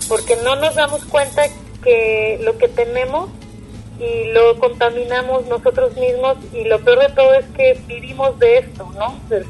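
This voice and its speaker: A woman's voice: fairly high pitch, a little mellow, rough recording. A woman from Mexico with a Mexican accent, speaking casually and conversationally as an interview guest. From Puerto Vallarta, Mexico.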